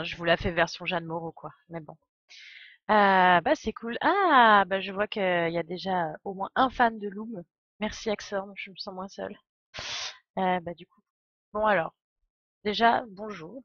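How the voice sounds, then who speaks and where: A woman's voice: medium pitch, normal speed, no echo, lo-fi sound. Congested young woman, casual streamer. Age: 20s. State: congested with a cold. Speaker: game streamer, host. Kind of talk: casual live stream chat.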